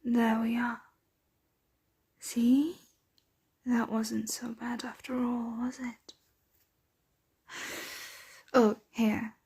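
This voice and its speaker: A woman playing a doting, genteel vampire mistress in an ASMR roleplay, speaking softly and breathily in a soothing, possessive, coaxing manner. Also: a little high, level tone, breathy edge, full character voice. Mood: reassuring, teasing. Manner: slow, coaxing, whispery soft-spoken delivery. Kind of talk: ASMR roleplay, soft intimate close speech.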